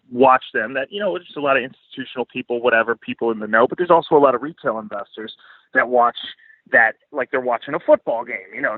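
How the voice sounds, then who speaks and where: Male podcast guest, mid-pitched, casual and opinionated, swears freely, talks bluntly, very little room sound. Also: dry recording, narrow, tinny audio. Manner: blunt, profane, informal. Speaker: podcast guest, financial commentator. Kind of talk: casual interview talk, opinionated commentary.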